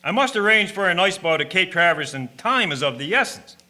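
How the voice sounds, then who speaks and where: A man's voice: high-pitched, very animated, brisk, bright, forceful tone, heavily acted. A man playing an anxious, determined ship's captain who speaks formally and insistently in a historical radio drama. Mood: urgent. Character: anxious, determined steamship captain, brisk and commanding. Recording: noisy, echoing recording with clicks.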